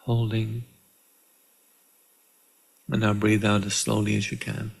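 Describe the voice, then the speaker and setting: Guy with a low raspy creaky voice doing a guided meditation, calm, lots of pauses. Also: poor audio. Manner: calm, measured, with deliberate pauses. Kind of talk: guided meditation, slow and instructional. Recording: live online session.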